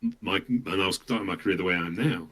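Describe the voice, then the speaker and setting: A middle-aged man chatting earnestly and a little haltingly as an interview guest. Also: deep voice, a bit bright. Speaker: voice actor, podcast guest. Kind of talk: casual interview chat.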